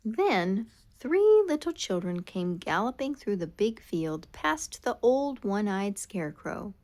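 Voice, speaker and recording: Female storybook reader for children: expressive and dramatic, slow delivery with very animated intonation. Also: medium pitch. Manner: dramatic, expressive read-aloud with drawn-out words. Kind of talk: scripted children's storytime narration.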